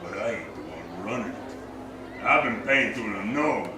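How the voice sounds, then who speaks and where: A man's voice: on the low side, animated, normal speed, a crowd in the background, lightly acted. Man acting a surly carny, echoey and distant. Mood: bitter. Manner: surly, slangy tough-guy talk. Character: irritable, nasty male carnival barker, gruff streetwise crook. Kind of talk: live radio drama in old detective serial style. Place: theater stage, live performance. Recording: echoey theater, distant mic, noisy.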